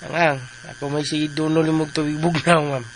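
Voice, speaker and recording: A man's voice: a little high, flat delivery, normal speed, a little hiss or hum, close to an everyday voice, low-quality recording. An actor playing a fussy, devoted male servant with a Filipino accent in a radio drama. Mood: deferential, fussy.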